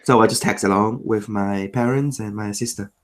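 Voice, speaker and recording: A man from Macau whose first language is Cantonese, answering interview questions in English in a casual chat, explaining in quick bursts and rattling off lists. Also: fairly low pitch, fairly even intonation, a crowd in the background. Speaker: interview guest.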